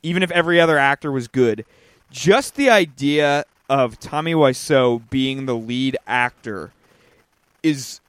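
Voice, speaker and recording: A man's voice: mid-range voice, measured pace, clear, resonant tone, dry recording. A man co-hosting a movie podcast who talks with emphasis and repeats his points. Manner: emphatic, repeats himself for effect. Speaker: podcast co-host. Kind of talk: casual movie review chat.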